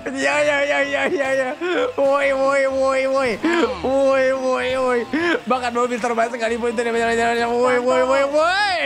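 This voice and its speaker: A man's voice: extremely high pitch, fairly even intonation, unhurried, hardly any pauses, music in the background. A man commentating on game roleplay in a slangy, exclamatory way, often repeating words. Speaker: gaming video creator and host.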